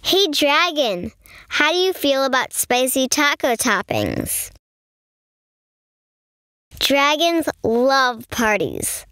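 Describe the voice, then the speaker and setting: A woman reading a picture book aloud to young children in an expressive storytelling manner. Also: high voice, slow, pauses often, no room sound. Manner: expressive, addressing young listeners. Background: music.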